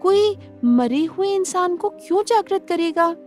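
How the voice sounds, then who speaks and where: A woman's voice: extremely high pitch, expressive, a little slow, darkish tone, faint music behind, pitched above the performer's own voice. A woman voicing a young female college student who is curious and wary, in an audio horror drama. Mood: curious, probing.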